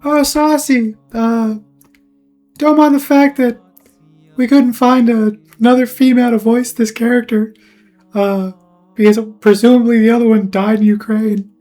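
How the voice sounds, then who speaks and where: A woman voicing a bubbly, watchful spirit animal mascot in a cartoon, with a hesitant, gently scolding manner. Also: fairly high pitch, fairly even intonation, measured pace, natural voice. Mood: awkward. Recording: music under the voice.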